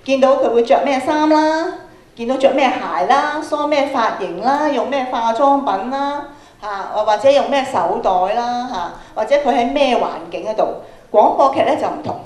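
A woman's voice: high-pitched, moderate pace. A middle-aged woman with a Hong Kong Cantonese accent, giving an interactive workshop lecture to students and putting questions to them as she projects to the hall. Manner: animated questioning, projecting to an audience. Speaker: workshop speaker, radio programme producer. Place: large school hall, on stage. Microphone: handheld microphone through PA, camera at a distance. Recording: echoey auditorium PA sound.

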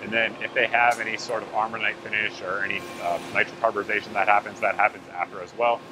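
A man's voice: on the low side, quick pace, noisy background. A man, casually talking viewers through a factory tour and then showing gear in an office, with lots of fillers and self-corrections. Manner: relaxed, chatty, many fillers and self-corrections. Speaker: gun channel host. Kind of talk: casual walkthrough vlog. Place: loud factory floor, then a small office room.

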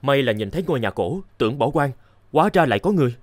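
Man playing a lively young travelling scholar. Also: medium pitch, quick pace, dry recording, studio quality, natural voice. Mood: polite request. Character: young travelling scholars in an old folk-tale cartoon. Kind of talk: animated folk-tale dubbing.

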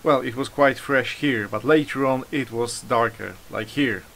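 A man casually narrating a DIY vlog in an informal, halting, self-deprecating way. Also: mid-range voice, creaky, a bit bright, slight background noise. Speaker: motorcycle hobbyist, video host.

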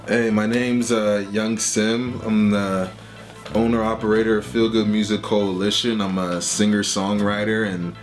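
Easygoing young man, low voice. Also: a little slow, faint music behind. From Liberia. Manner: relaxed, frequent "you know" fillers. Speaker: rapper and label owner. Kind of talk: casual sit-down interview. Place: small home studio indoors.

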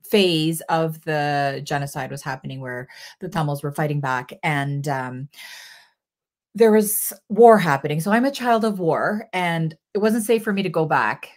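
A woman in her forties from Sri Lanka, a guest filmmaker answering interview questions earnestly in bursts with many fillers, over a video call from a home office. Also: low voice.

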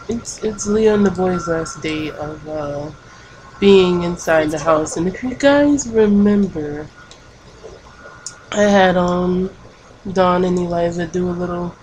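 A woman doing casual game commentary, keeping her voice hushed at night with bursts of excitement. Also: sits low, noisy background. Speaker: let's play gamer. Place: home at night.

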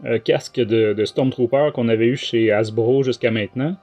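A middle-aged man with a Québécois French accent, hosting a casual podcast chat in hesitant, halting phrases. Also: medium pitch, steady tone, darkish tone, soft background music. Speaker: podcast host. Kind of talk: casual talk show chat.

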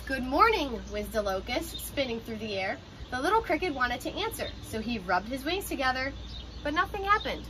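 A young adult woman reading a children's picture book aloud in an expressive, child-directed way, like a teacher. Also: high voice, normal speed. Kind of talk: children's storybook read-aloud. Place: outdoors on a porch by glass doors. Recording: ambient outdoor noise behind voice.

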